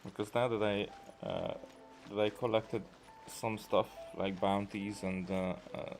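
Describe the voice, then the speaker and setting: Low-voiced man, halting game commentary over music. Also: steady tone, darkish tone. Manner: hesitant, halting, thinking aloud. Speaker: gaming video creator. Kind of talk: casual gameplay commentary. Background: video game music.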